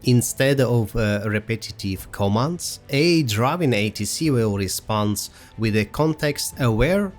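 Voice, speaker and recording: Male narrator for a software promo video: mid-pitched, halting, semi-scripted delivery over light music. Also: animated. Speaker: presenter of a software roadmap video.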